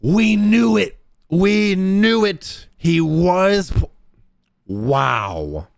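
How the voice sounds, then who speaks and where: A man giving casual, informal live reactions as he streams a video game, exclamatory and animated. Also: high voice, extremely slow, gravelly, dry recording.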